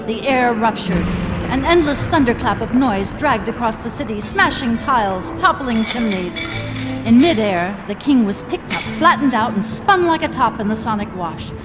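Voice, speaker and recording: A woman's voice: on the high side, natural voice. A woman acting as narrator of a comic fantasy stage drama, telling it vividly. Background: loud music.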